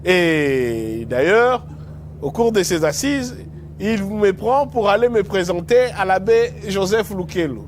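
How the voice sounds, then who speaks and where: A middle-aged man reminisces slowly, pausing and restarting as memories come back. His voice sits a little high for a man. A faint hum of background noise runs underneath. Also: lively intonation. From DR Congo. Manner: unhurried, reminiscing with pauses and restarts. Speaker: lawyer and former movement spokesperson. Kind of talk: reflective storytelling.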